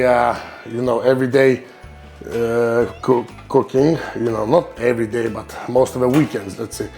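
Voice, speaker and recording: A man's voice: medium pitch, a little mellow. A man from Lithuania with a Lithuanian accent, hosting his cooking channel in English, which is not his first language, searching for words with many ums and restarts. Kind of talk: casual cooking vlog.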